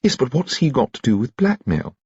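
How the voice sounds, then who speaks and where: A man's voice: mid-range voice, big pitch swings, darkish tone, breathy edge, dry recording, highs cut off, voice pushed up. A man voicing a spirited, teasing elderly society mother who talks emphatically. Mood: playful. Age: older. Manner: brisk, emphatic, playful.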